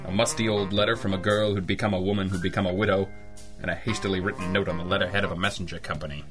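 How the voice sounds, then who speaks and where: An actor playing a tough, sardonic, world-weary private eye with a dry manner in an old-style radio drama. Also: male voice, deep voice, fairly even intonation, very fast, music in the background, lightly acted. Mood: dry narration. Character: tough, sardonic male hard-boiled private eye, war veteran.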